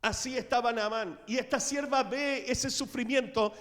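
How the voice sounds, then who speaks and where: A middle-aged man preaching a sermon in a church, telling the story with dramatic swings in loudness. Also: very high voice, normal speed. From Latin America. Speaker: pastor. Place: church sanctuary. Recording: reverberant church hall.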